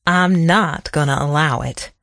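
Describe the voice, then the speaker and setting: A man voicing a tired, stubborn old country sheriff in an audiobook, gruff, blunt and folksy. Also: a little high, moderate pace, no echo, natural voice. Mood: determined, harsh.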